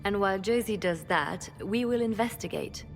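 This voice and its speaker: An actress playing a cool, calculating intelligence chief in a fantasy game. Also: female voice, mid-range voice, moderate pace, gravelly, faint music behind, lightly acted. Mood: cool, resolute. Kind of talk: video game dialogue acting.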